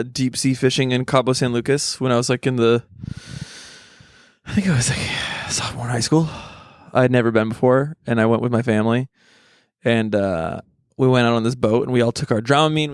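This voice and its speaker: A man with a naturally high voice, chatting and joking crudely as a podcast co-host in casual comedic banter. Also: steady tone, brisk, smooth, no room sound, clean studio sound.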